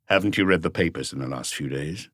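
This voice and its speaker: A man voicing a calm, terse male chief inspector who questions witnesses in a detective audiobook. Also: rumbling low, fast, no echo, studio quality, pitched below the performer's own voice. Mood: calm, grave.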